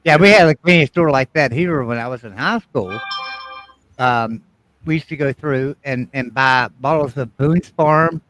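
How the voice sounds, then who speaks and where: A man from Virginia, telling emphatic, animated stories with mild cursing in a casual live chat. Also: mid-range voice, normal speed, soft background music, poor audio. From West Virginia.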